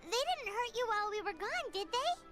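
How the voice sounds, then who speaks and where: A very high, squeaky little girl companion from a fantasy video game. The delivery is excitable and bouncy, swinging widely in pitch, with music playing loudly underneath. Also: fairly quick. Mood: concerned, cheeky. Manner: excitable, refers to self by name. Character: small chirpy childlike floating companion girl.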